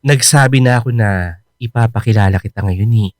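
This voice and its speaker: Casting: male actor for earnest, easily exasperated boyfriend and son, medium pitch, radio drama, close dry sound. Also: a little fast, dry recording, lightly acted. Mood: reassuring. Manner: expressive, protesting, reassuring. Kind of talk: Filipino radio drama acting.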